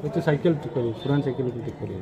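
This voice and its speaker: A man with a Tripura Bengali accent giving halting, unscripted answers to a reporter. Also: medium pitch. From Tripura, India. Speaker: bicycle repairer being interviewed. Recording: outdoor street with crowd noise.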